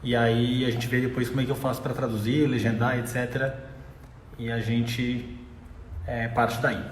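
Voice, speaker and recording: A man's voice: mid-range voice, flat delivery, fairly quick, a little hiss or hum. Ask for a Brazilian man interviewing in an echoey room. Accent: Brazilian Portuguese. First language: Portuguese. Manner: hesitant, many fillers and restarts. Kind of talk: live online interview.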